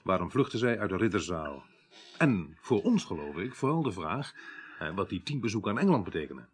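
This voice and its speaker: An actor playing a brisk, businesslike police inspector in a 1980s radio crime drama, giving impatient orders in speech with a husky voice. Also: male voice, sits low, sing-song, heavily acted. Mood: methodical.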